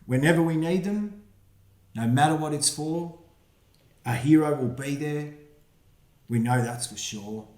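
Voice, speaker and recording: A man, the book's author, reading his rhyming picture book aloud for children, with quiet asides about the pictures. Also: a little high, a little slow, pauses often. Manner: friendly, reads rhyming verse with quiet asides. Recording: roomy echo.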